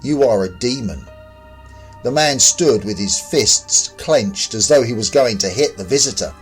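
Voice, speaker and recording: A man's voice: mid-range voice, lively intonation, moderate pace, faint music behind. A man reading scripted fiction as the narrator of an audio story. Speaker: author and narrator.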